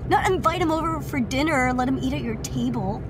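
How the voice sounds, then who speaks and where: A woman's voice: sits high, fast talker, close to an everyday voice. An actress playing a bitter, foul-mouthed fourteen-year-old girl in an audio drama, blunt and sarcastic in teenage slang. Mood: angry, exasperated. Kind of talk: naturalistic scripted audio drama acting. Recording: noisy outdoor ambience with vehicle sounds.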